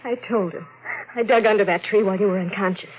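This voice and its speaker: An actress playing a frightened, scheming young woman in a 1940s radio mystery, hurried and pleading. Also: mid-range voice, natural voice. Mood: anxious. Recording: muffled, noisy old radio broadcast.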